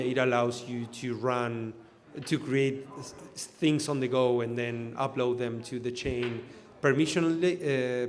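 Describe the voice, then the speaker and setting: A man from Argentina giving a technical conference talk as an engineer, explaining loosely with lots of fillers and restarts. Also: mid-range voice. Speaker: conference speaker, blockchain engineer. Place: event hall in front of an audience. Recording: echoey hall.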